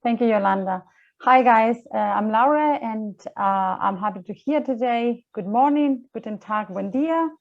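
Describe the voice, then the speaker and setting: A woman speaks haltingly to schoolchildren, with fillers and small slips. The sound is dull and lo-fi. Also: a little high, gravelly. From Argentina. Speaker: researcher presenting to schoolchildren. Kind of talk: simple explanatory school talk.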